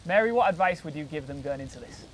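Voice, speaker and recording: A man's voice: fairly high pitch, lively intonation, fast, a bit bright, slight background noise, low-quality recording. A man presenting a local TV documentary, interviewing guests with upbeat, leading questions.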